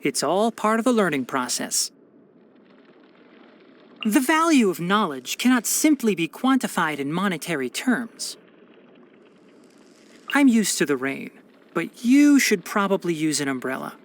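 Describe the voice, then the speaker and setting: A man's voice: sits high, sing-song, unhurried, pauses often, full character voice. A young man voicing a scholarly, wry fox-eared forest watcher in a video game, speaking precisely and matter-of-factly. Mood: composed, instructive. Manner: precise, articulate, matter-of-fact with dry sarcasm. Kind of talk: scripted video game character voice lines.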